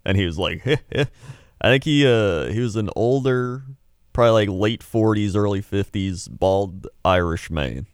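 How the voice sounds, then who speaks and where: A man with a low voice chats as a podcast co-host. He is easygoing and droll, and the sound is dry with no room to it. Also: moderate pace, smooth, no echo. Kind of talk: casual podcast chat.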